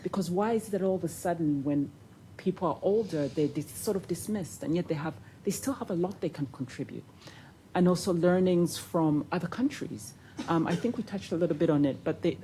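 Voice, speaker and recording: A woman from Uganda reflects at a roundtable in a low, mellow voice. She hesitates often and restarts her sentences. Faint noise sits behind her in the conference room. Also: low-quality recording. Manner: hesitant, many fillers and restarts. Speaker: pharmaceutical industry panelist.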